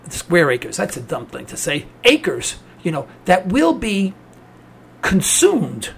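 Ranting New York man, gravelly. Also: sits high. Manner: cynical, ranting. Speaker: radio talk host. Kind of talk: political talk monologue.

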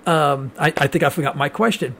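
A man's voice: on the high side, brisk, a bit bright, a little hiss or hum. An older man from New York, a radio host who rambles, stammers with repeated words and jokes while interviewing a guest. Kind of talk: casual interview.

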